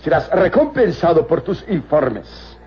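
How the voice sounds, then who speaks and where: An actor playing a scheming, arrogant, cruel villain in an old radio serial, with a husky, theatrical, imperious delivery. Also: male voice, on the high side, very animated, a little slow, heavily acted. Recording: dull lo-fi old radio recording.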